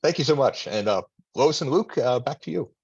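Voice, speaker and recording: Husky man on dull call audio. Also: mid-range voice, lively intonation, fairly quick, a little mellow, no echo. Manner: rambling, full of fillers and restarts. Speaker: society member presenting. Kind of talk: casual remarks on a video call.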